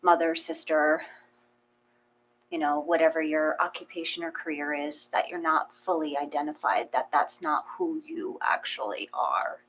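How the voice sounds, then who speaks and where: A woman in a casual, reflective discussion, explaining gently and a little tentatively. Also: low voice, very animated, moderate pace, poor audio. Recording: faint background hum.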